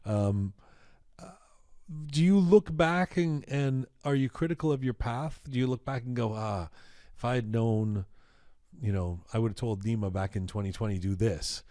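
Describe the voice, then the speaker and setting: A man's voice: medium pitch, normal speed, warm tone, dry recording. Curious probing male host, dry sound. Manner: curious, probing, coaxing questions. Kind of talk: interview questions. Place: studio.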